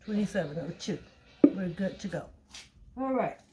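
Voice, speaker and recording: A woman with a tired, raspy voice, talking casually through a soap-making tutorial and thinking aloud as she weighs ingredients. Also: mid-range voice, lively intonation, very noisy. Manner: slow, chatty, thinking aloud while weighing. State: tired voice, clearing throat. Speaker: soap maker.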